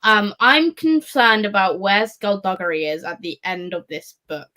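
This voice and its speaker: A woman's voice: medium pitch, normal speed, sharp tone. A woman in a casual live book discussion, rambling along in a wry, sweary way. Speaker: book readalong panellist.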